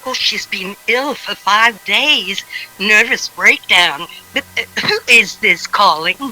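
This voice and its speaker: A woman's voice: mid-range voice, lively intonation, slow, pushed and bright, faint music behind, heavily acted, low-quality recording. A woman playing a formal, guarded, matter-of-fact figure answering a household telephone in an old-time radio drama. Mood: grave, explanatory.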